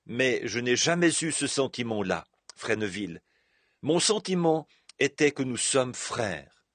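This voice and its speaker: A man interpreting a sermon, taking his time over each phrase. His voice is husky. The sound is dry and close. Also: medium pitch, big pitch swings, unhurried, fairly bright tone, no room sound.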